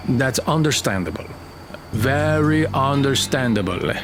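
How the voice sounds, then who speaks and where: A man's voice: medium pitch, expressive, unhurried, close to an everyday voice. A man playing a genial, persistent military colonel in an audio drama, informal and a little hesitant. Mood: persuasive. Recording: music under the voice.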